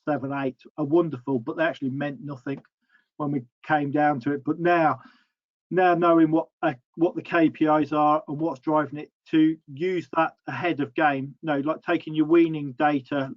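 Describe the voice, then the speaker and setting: A man's voice: on the high side, no echo, dull audio. Stumbling male livestock advisor. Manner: stumbling, restarts sentences. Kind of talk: panel discussion.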